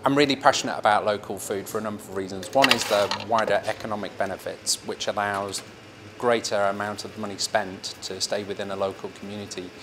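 Low, flat-voiced middle-aged man. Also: noisy background. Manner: earnest, measured, with frequent fillers. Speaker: farm shop owner. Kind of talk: promotional interview. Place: indoor shop by the cheese counter.

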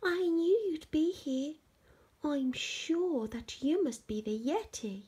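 A woman voicing an eager, excitable young boy adventurer from a children's rhyming story. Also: high-pitched, a little slow, pitched above the performer's own voice. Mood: excited. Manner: exclaiming, polite when apologising.

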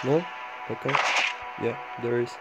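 A man giving low-key, casual gameplay commentary, thinking aloud in short fragments as he guides viewers. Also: a little slow, muffled recording, loud music behind the voice. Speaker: gamer making a walkthrough guide. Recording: clearly noisy home recording with clicks.

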